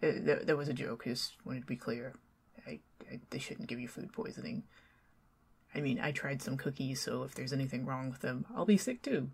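Low, gravelly Southern woman whispering. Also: moderate pace. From Southern United States. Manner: chatty and rambling, drifting between soft speech and whispers, with nervous giggles. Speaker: ASMR roleplay performer. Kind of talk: ASMR roleplay, talking to the listener as a friendly neighbor.

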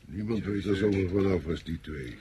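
An actor playing a wary, gossiping front-line soldier in a Dutch radio drama, knowing and conversational. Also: male voice, deep voice, moderate pace, noisy background, lightly acted.

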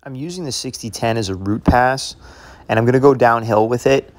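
A young man, a welder, calmly explaining each step of his repair work. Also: medium pitch, fairly quick, noisy background. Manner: calm, matter-of-fact explaining. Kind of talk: voice-over explaining welding work.

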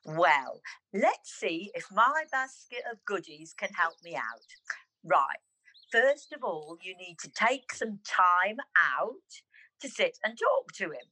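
A woman's voice: on the low side, big pitch swings, takes it slowly, sharp tone, full character voice, rough recording. A woman playing a chatty, playful village woman in an amateur radio pantomime, with theatrical delivery. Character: chatty village woman full of confectionery puns.

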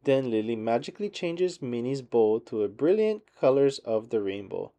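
A man narrating a picture book for young children in a measured, expressive read-aloud manner. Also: mid-range voice.

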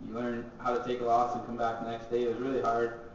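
Flat quick young hockey player. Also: male voice, mid-range voice, slight background noise. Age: young adult. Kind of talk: press conference answers. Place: large echoey press room. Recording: echoey, distant, dull.

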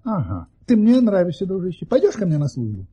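A jovial, high-voiced boastful baron. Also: male voice, wildly swooping pitch, brisk, warm tone, husky, muffled recording, exaggerated voice. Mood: curious, friendly. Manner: grand, exclamatory, courteous. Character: jovial boastful baron recounting his tall-tale travels. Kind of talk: theatrical audio-play storytelling.

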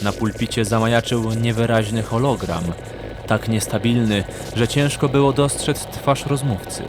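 A man's voice: mid-range voice, steady tone. Male fantasy audiobook narrator over music. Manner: measured, suspenseful storytelling. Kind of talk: dramatic scripted fantasy narration.

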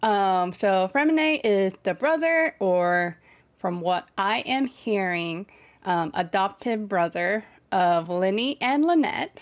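A young woman hosts a reaction video in a casual voice of middling pitch. She talks slowly and haltingly, often searching for words. She is close to a desk microphone in a small indoor room. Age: young adult. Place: small bedroom setup, indoors. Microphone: desk microphone on boom arm.

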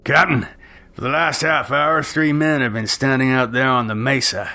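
A man voicing an excited, uneasy sentinel in an Old West party, agitated and rough-spoken, in a storybook reading. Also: mid-range voice, normal speed, pitched above the performer's own voice. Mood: excited, unnerved.

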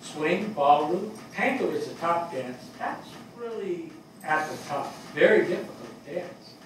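An older man acting a plainspoken, earnest fire captain in a stage play reading, talking colloquially and haltingly with emphasis. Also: a little high, animated. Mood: shy enthusiasm. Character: plainspoken, earnest New York fire captain reminiscing fondly. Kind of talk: dramatic stage reading, conversational. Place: on stage in a theatre before an audience. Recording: distant mic, echoey hall, audible noise.

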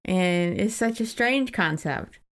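A woman's voice: fairly low pitch, lively intonation, takes it slowly, fairly bright tone. A woman hosting a podcast, chatting casually about a book in an informal, joking way. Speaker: podcast host. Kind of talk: casual book discussion chat.

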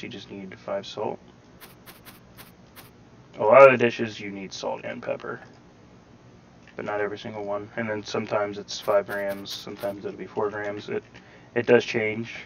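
A man's voice: lowish voice, creaky, a bit bright, lo-fi sound. A man giving casual gameplay tutorial commentary, rambling step by step with some hedging, his voice sounding slightly robotic over the recording. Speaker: gaming tutorial host. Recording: slightly robotic processing, faint background noise.

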